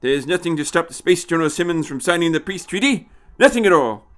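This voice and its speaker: A man voicing a fussy, scornful old time traveller, excitable and chiding, with hesitant little interjections, in an audio story. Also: a little high, fast talker, pitched above the performer's own voice. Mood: scornful, excited.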